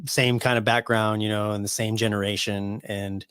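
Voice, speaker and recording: A man in his early forties talking casually as a podcast guest, relaxed, often saying "man" and "dude". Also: lowish voice, normal speed, smooth, dry recording, studio quality. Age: 40s. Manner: laid-back, says "man" and "dude" a lot. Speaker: podcast guest, awards show founder.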